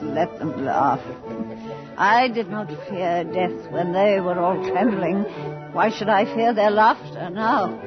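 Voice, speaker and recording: Proud aging lady of the manor, played by a woman, theatrical, unhurried delivery, muffled vintage radio sound with prominent music. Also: mid-range voice, lightly acted. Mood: defiant, commanding. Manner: grand, theatrical, formal diction. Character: proud, imperious aging noblewoman hosting her last ball. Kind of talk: old-time radio drama acting. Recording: dull old radio recording under music.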